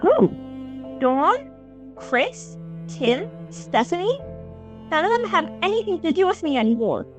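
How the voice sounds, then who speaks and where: A woman's voice: extremely high pitch, very animated, unhurried, long pauses, music in the background, exaggerated voice. A woman voicing an earnest, aggrieved young teen boy hero in a fantasy audio drama, emotional and a little whiny. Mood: hurt, upset.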